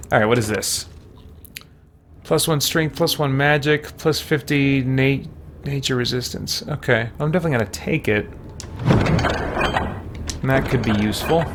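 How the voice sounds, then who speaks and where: A man's voice: mid-range voice, a little slow, pauses often, background noise. A man hosting a game live stream, thinking aloud in casual, easygoing commentary and chatting with viewers.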